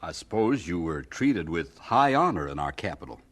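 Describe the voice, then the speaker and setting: Radio drama announcer, male, American, deeper register, animated delivery, asks questions as narrator. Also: normal speed.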